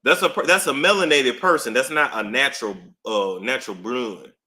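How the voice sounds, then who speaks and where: Man, live-stream commentator, bright lively delivery, roomy echo, emphatic repeater. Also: mid-range voice, moderate pace. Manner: animated, emphatic, repeats phrases for effect.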